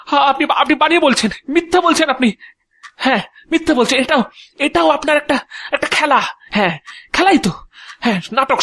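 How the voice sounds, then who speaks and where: Man playing a high-pitched, flustered, stammering fellow. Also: sharp tone, no room sound, exaggerated voice. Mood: frantic denial. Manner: stammering, repeating words, pleading and protesting. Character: flustered, exasperated, anxious working man. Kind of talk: Bengali audio drama acting.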